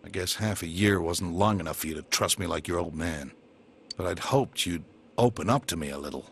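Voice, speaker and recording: A man's voice: sits low, brisk, gravelly, fairly bright tone, a little hiss or hum. An actor playing a stern, protective middle-aged detective father in a video game, firm and blunt, with an American accent. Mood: disappointed. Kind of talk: English video game voice acting.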